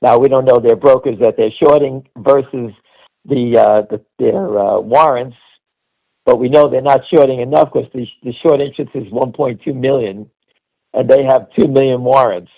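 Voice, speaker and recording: A man's voice: mid-range voice, moderate pace, vocal fry, darkish tone. A man giving informal, off-the-cuff market commentary as host of a call, blunt and insistent, often checking in with the listener. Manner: blunt, insistent, punctuated by "all right?". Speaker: stock commentator hosting a conference call. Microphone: conference call line. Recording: dull, lo-fi radio-like call audio.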